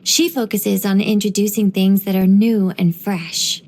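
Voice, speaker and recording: A woman voicing a gentle, wise young angelic woman in game voice lines, soft and wryly musing. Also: mid-range voice, steady tone, a little slow.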